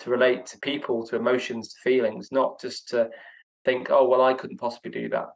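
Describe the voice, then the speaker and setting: An earnest young man answers interview questions in a reflective, conversational way. His voice sits at an ordinary male pitch, and he sometimes stammers and restarts as he gathers his thoughts. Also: little pitch movement, brisk, vocal fry. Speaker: guest, professional speaker.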